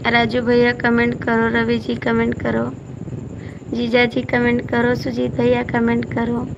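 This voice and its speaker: A hoarse woman hosts a casual live stream, reading out viewer comments and coaxing people by name to comment. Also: fairly high pitch, monotone, a little slow, dull audio, background noise. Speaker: folk singer hosting a live stream.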